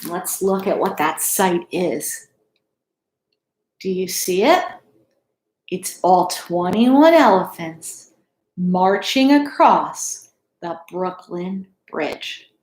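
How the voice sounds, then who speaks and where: A woman's voice: on the low side, very slow, long pauses, reverberant. A middle-aged woman reading a children's storybook aloud like a school principal, with teacherly asides to her listeners, in a home room. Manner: expressive, teacherly, addresses listeners with questions. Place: small room at home, indoors.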